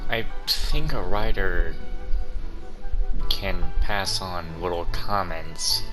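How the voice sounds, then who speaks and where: A low-voiced man gives casual playthrough commentary as a gaming host. He drops wry, muttered asides over loud background music. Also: drawn-out words.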